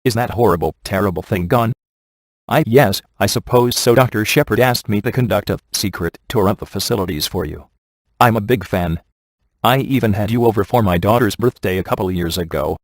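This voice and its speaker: A man's voice: fairly low pitch, brisk, no room sound. A man playing a polite, nervous museum curator in a video game, with a genteel, anxious manner. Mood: hesitant, polite.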